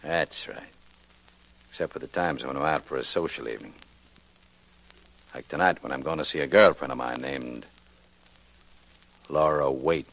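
A man playing a smooth, cocky racketeer, cool and clipped, in 1940s radio drama style. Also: a bass voice, normal speed, long pauses, fairly bright tone, heavily acted, low-quality recording. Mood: cool, businesslike. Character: smooth, cocky male racketeer with many enemies.

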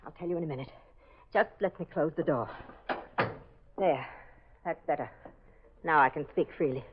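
A woman's voice: low voice, normal speed, phone-quality sound, background noise, lightly acted. Woman acting a furtive nurse on thin old radio. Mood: furtive, urgent. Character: furtive, suspicious woman nurse, secretive and probing. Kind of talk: 1940s radio mystery acting.

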